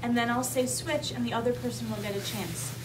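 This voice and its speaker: Female kindergarten teacher for an interactive read-aloud. High-pitched, simple instructions with regular check-in questions, picked up from a distance. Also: steady tone, a little fast, a crowd in the background. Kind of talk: interactive read-aloud lesson to young children. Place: kindergarten classroom with children. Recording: distant mic, clearly noisy room ambience.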